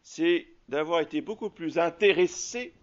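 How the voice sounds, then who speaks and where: A man preaching a sermon as a priest, halting often with fillers and restarts. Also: a little high, highs cut off. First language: French.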